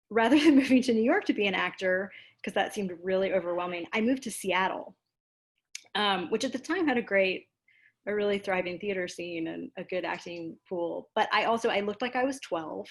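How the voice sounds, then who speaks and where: An American woman being interviewed, speaking in a casual, animated storytelling way with self-deprecating asides. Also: medium pitch. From Louisiana, United States. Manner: animated, self-deprecating, acts out quoted exchanges. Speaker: interview guest, theater dramaturg and producer.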